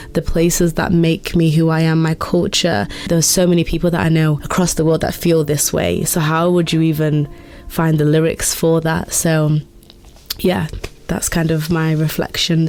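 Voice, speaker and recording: A woman's voice: low voice, darkish tone. A young woman with an English accent speaks in a level voice with little rise and fall. She is informal and candid, thinking aloud like a musician being interviewed. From England, raised in Doncaster. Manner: candid, musing. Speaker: guest, musician. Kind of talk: reflective, informal interview talk.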